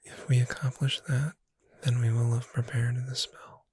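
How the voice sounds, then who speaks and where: A performer voicing a gentle, reassuring witch bookseller in a breathy whisper, soothing the listener in an ASMR roleplay. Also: medium pitch, little pitch movement, no room sound. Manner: slow, gentle, soothing, addressing the listener directly.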